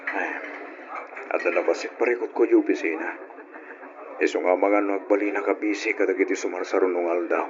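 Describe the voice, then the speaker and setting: Low-voiced evasive Ilocano boyfriend. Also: male voice, steady tone, a little fast, pauses often. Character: evasive, preoccupied young boyfriend. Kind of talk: old Ilocano radio drama acting. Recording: noisy, dull lo-fi radio recording.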